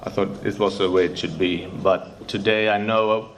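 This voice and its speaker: Low-voiced Swedish man, nervous, halting non-native English, faint noise behind. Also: fairly even intonation, rough recording. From Sweden. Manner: halting, searching for English words, many fillers and restarts. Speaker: speaker sharing recovery story. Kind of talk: recovery meeting share.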